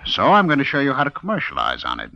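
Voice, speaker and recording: An actor playing a smooth, menacing gangster boss in an old-time radio crime drama, brusque, sardonic and sure of himself. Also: male voice, medium pitch, huge pitch swings, fast talker, no room sound. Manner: brusque, sardonic, self-assured. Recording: dull old radio recording.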